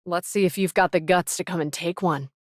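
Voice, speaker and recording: A woman's voice: on the low side, steady tone, a little fast, no echo, studio quality, close to an everyday voice. A woman voicing a cool, self-assured, tough female fighter in an anime video game, composed and matter-of-fact. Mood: cool, challenging.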